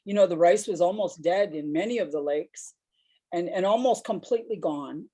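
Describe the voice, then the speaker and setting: A woman's voice: lowish voice, dark tone. A woman with a big voice, telling personal stories on a discussion panel in a reflective, rambling way.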